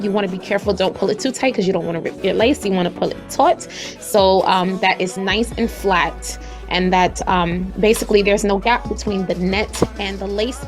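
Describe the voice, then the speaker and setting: Raspy-voiced chatty young woman vlogger. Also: medium pitch. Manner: chatty, slangy, talks straight to viewers. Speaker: hair tutorial vlogger. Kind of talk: casual tutorial narration.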